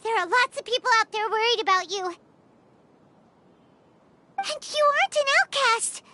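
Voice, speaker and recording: Very squeaky little fairy companion. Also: female voice, lively intonation, moderate pace, sharp tone, exaggerated voice. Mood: pleading, indignant. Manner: squeaky, pleading exclamations. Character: tiny childlike fairy companion, earnest and excitable. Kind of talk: scripted video game voice acting.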